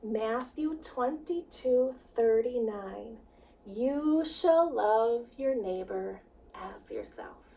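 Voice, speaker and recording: A woman reads a children's story in a high voice, taking her time over every phrase. Her delivery is expressive and swings widely, with dramatic pauses between lines. She sounds set back from the microphone. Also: slight background noise. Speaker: children's director reading a picture book. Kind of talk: children's story read-aloud. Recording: distant microphone.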